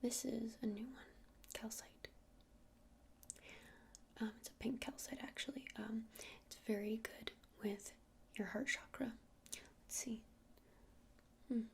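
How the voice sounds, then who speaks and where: A woman playing a gentle witch shopkeeper in an ASMR roleplay, speaking in a breathy whisper and explaining things in an unhurried, hesitant, soothing way. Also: fairly high pitch, steady tone. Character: gentle witch shopkeeper showing crystals to a customer.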